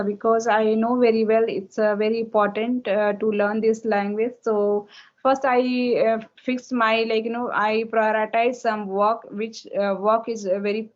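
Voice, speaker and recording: A woman in her thirties from India, a native Hindi speaker with an Indian English accent, answering questions in a halting practice conversation full of fillers over an online call. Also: on the high side. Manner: halting, many fillers and restarts. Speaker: English learner, homemaker. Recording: dull lo-fi call audio.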